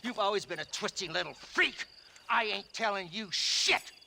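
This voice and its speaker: A man playing a panicked, spiteful, cornered outlaw in a Western game, whining and yelling. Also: sits high, expressive, moderate pace, gravelly, a little hiss or hum, heavily acted. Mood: panicked, defiant. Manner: whining, then yelling defiance. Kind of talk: dramatic Western video game acting.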